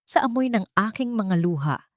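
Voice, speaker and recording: A woman narrating a scripted romance drama in an emotive, drawn-out storytelling manner. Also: mid-range voice, expressive, no room sound, clean studio sound.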